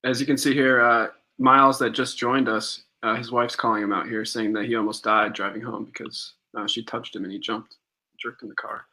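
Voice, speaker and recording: A young man co-hosting a podcast live stream, relaxed, telling a story with many fillers and pauses. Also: mid-range voice. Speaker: podcast co-host.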